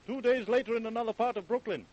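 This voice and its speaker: A man's voice: extremely high pitch, fast talker, darkish tone, muffled recording. A man playing an urgent, solemn American narrator of an old-time radio crime drama. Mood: dramatic.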